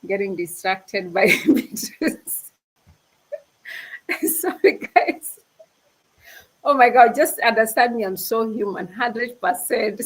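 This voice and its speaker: A woman's voice: mid-range voice, slightly rough, poor audio. A young woman from Kenya giving an earnest, casual motivational talk as a panel speaker on a video call. She often restarts her sentences and laughs easily.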